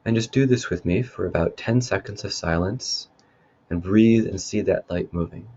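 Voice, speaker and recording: Give me a calm male meditation guide with a lowish, very soft-toned mellow voice, unhurried and instructive. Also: highs cut off. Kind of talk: guided meditation, spoken softly to listeners.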